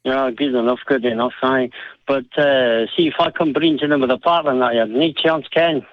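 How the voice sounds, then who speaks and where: A man's voice: medium pitch, steady tone, moderate pace, no room sound. A Scottish man calling in to a talk show, chatty and hesitant, with lots of fillers. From Scotland. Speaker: caller. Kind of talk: phone-in call. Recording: dull phone-line audio.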